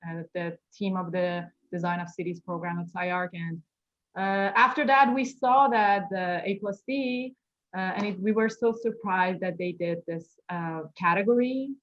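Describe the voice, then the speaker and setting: A woman's voice: on the low side, steady tone, a little slow. Persian-accented woman, slightly muffled audio. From Iran. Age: 30s. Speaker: architect, award-winning interview guest. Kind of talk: casual interview conversation.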